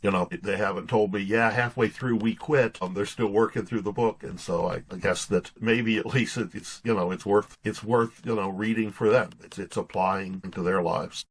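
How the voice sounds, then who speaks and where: A man's voice: fairly low pitch, steady tone, moderate pace, few pauses, slightly rough. A man in his sixties telling stories casually as an interview guest, in a folksy, earnest way with stop-and-start phrasing. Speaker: podcast guest, pastor and author.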